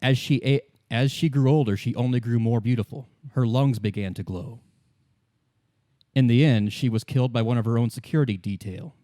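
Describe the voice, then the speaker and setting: A man narrating as game master, explaining fictional lore in a measured, solemn and deliberate storytelling manner. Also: medium pitch, warm tone, no room sound, clean studio sound. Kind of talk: improvised lore exposition in a tabletop game.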